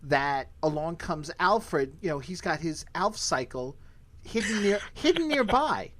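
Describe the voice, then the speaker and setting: A man's voice: a little high, expressive, measured pace, fairly bright tone. A man in casual conversation, commenting as a podcast host.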